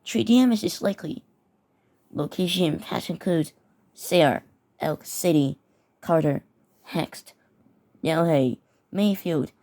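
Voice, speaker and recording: A woman's voice: fairly low pitch, slow, pauses often, warm tone. A woman reading mock severe weather warnings aloud with her own commentary, often stumbling over and repeating words. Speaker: mock emergency alert narrator.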